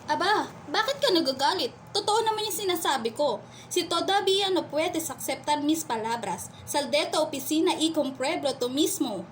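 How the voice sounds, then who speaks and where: A woman playing a haughty, sharp-tongued, gossiping officer's wife in a radio play, insistent in manner. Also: high voice, expressive, moderate pace, a little hiss or hum. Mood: indignant.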